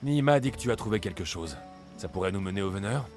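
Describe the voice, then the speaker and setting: A man plays a bold ancient Greek mercenary in a video game. The voice is very low, with blunt, confident delivery. Soft music sits behind it. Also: animated, quick pace, lightly acted. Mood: determined. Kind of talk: dubbed video game dialogue.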